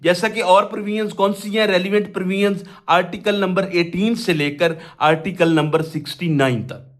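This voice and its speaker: A man's voice: high voice, steady tone. A Pakistani man giving an explanatory law lecture in a didactic way, posing questions and then answering them himself. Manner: reads quoted provisions slowly in segments. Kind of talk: explanatory lecture mixing Urdu with English legal terms.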